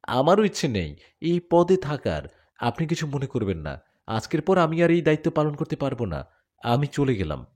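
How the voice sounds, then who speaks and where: A man's voice: a little high, sing-song, moderate pace, darkish tone, voice pushed up. A man voicing a devoted young male jinn warrior who pleads and argues in a spoken story. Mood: earnest. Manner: earnest, pleading.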